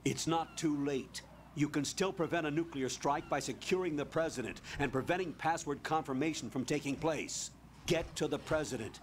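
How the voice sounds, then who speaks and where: Brief: actor as a stern game commander barking curt orders. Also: male voice, on the high side, moderate pace, a little hiss or hum, lightly acted. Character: stern male military commander on radio.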